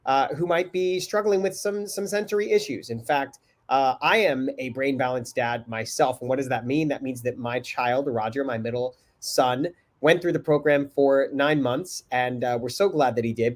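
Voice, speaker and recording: A middle-aged man hosts a casual live show over a webcam from his home, talking upbeat and energetic with plenty of "uh"s. His voice sounds a little stuffy and congested, and it sits at a middle pitch. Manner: upbeat, energetic, many fillers. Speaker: host. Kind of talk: casual live video chat. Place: home room indoors. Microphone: video call webcam.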